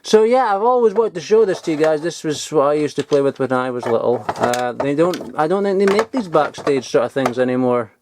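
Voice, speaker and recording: A man's voice: fairly high pitch, fairly quick, hardly any pauses, darkish tone, slight background noise. A young man giving a casual, sweary, enthusiastic show-and-tell in an informal vlogging style. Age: young adult. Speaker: vlogger showing old toys. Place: loft at home, indoors.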